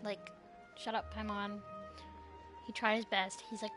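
A woman's voice: mid-range voice, little pitch movement, measured pace. A woman giving casual, slangy and playfully sassy live commentary as she streams a game. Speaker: game livestreamer. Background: video game music.

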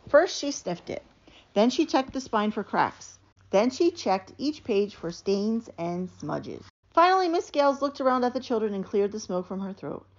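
A woman giving an animated, expressive read-aloud of a children's picture book. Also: medium pitch. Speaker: storybook reader. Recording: dull, lo-fi sound.

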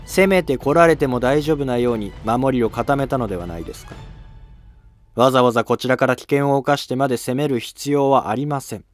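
A man's voice: mid-range voice, lively intonation, brisk, soft background music, close to an everyday voice. A man playing a commanding empress in a historical drama, speaking formally and with emphasis. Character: firm, commanding empress, an adult woman.

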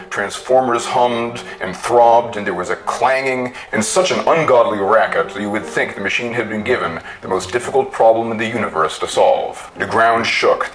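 A man giving a theatrical story reading with deliberate emphasis. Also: lowish voice, rough recording. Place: small indoor room with bookshelves.

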